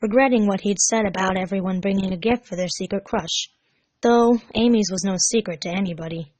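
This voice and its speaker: A woman reading a scripted story aloud as narrator, measured and expressive. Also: mid-range voice, no room sound, low-quality recording. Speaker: fan fiction narrator.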